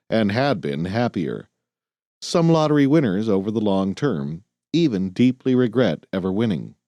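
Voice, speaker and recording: A man narrates a self-help style audiobook in a soft-edged, mellow voice. His pitch sits a little low but moves freely for emphasis. The phrasing is measured, earnest and clearly scripted. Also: no room sound, studio quality.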